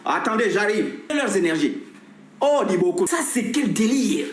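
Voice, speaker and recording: Indignant man shouting from far off. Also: high-pitched, very animated, moderate pace, faint music behind. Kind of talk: angry street complaint clip. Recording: echoey clip from far off.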